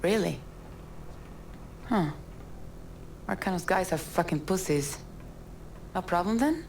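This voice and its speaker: A woman's voice: lowish voice, lively intonation, slow, creaky, darkish tone, a little hiss or hum, heavily acted, rough recording. An actress playing a hard-bitten woman smuggler with a blunt, foul-mouthed manner in a game cutscene. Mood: brisk, demanding. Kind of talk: naturalistic video game cutscene acting.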